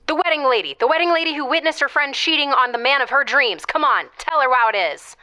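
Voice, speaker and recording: An actress playing a teasing caller who coaxes a radio host into giving advice. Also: female voice, high voice, bright, forceful tone, dry recording. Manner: coaxing, teasing, slow. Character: teasing female caller turning tables on host. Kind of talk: audio comedy drama.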